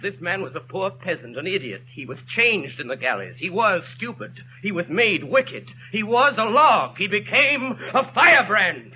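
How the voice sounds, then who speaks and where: A dignified mayor pleads before the court in rising, passionate oratory. His voice is high for a man and ranges widely. The sound is dull, lo-fi 1930s radio with faint hiss. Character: mayor hiding his past as a convict, man, middle-aged, grave and passionate. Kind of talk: 1930s radio drama acting.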